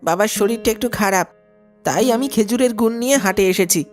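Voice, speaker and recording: A woman's voice: mid-range voice, a little fast, over music, natural voice. A woman voicing a young village boy who sells jaggery, earnest and anxious, in colloquial cartoon dialogue. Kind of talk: animated ghost story dialogue.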